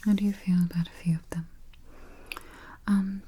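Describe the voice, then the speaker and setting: A woman whispering softly as a travel agent in an ASMR roleplay, murmuring little mm-hmms between phrases, close to the mic. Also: medium pitch, flat delivery, normal speed, breathy edge. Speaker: ASMR artist playing a spooky travel agent. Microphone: close sensitive mic. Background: keyboard typing and finger taps.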